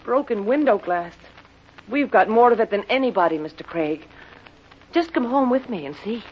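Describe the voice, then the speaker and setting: Nervous dramatic lady client, slightly husky, muffled old radio sound. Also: on the high side, lively intonation, normal speed. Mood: agitated. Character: anxious, pleading female client with a stolen diary. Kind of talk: 1950s radio drama acting.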